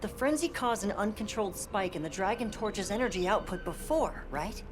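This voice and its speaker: A woman playing a thoughtful researcher in a video game cutscene, speaking in a measured, precise, scholarly way. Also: mid-range voice, lively intonation, lightly acted. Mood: thoughtful explaining. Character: thoughtful, curious researcher explaining a theory. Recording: music clearly behind the voice.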